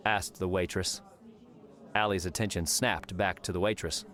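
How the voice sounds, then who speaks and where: A man narrating a novel as an audiobook, in a measured storytelling manner. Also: deep voice, steady tone, fairly bright tone. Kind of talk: scripted fiction narration.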